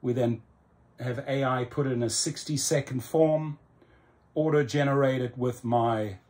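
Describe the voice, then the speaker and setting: A man's voice: medium pitch, a little mellow. Middle-aged south african guy plugging his channel, upbeat, lots of ums, close cam indoors. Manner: upbeat, salesy, with many ums and slow emphatic phrases. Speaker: YouTube channel host. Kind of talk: casual promotional vlog talk. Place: indoor room in front of a computer screen.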